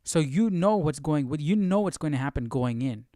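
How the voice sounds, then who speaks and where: A man's voice: on the high side, animated, normal speed, mellow, no room sound, clean studio sound. A man hosting a live study stream, thinking aloud in casual commentary and often repeating and rephrasing himself.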